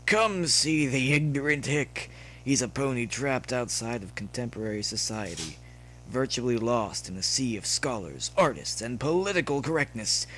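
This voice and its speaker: A man reading a story aloud as narrator in a dramatic, expressive way. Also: medium pitch, moderate pace. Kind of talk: reading fan fiction aloud with character voices. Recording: clearly noisy background with hum.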